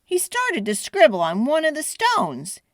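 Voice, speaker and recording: Very animated woman reading to kids. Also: high-pitched, dry recording, studio quality. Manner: animated, deliberate, dramatic phrasing. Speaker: children's read-aloud host. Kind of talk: expressive storybook narration for children.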